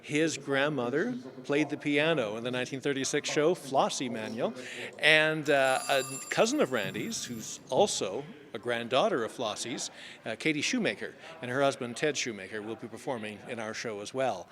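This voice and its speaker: A man's voice: mid-range voice, expressive, normal speed, crowd noise. A man giving a conversational interview as the creator of a stage show.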